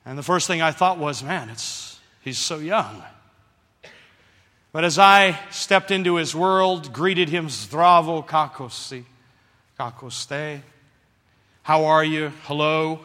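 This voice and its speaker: A man's voice: a little high, takes it slowly, long reverb tail. A man preaching a sermon, telling a story and calling on the congregation to answer him. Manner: animated, calls for congregation response.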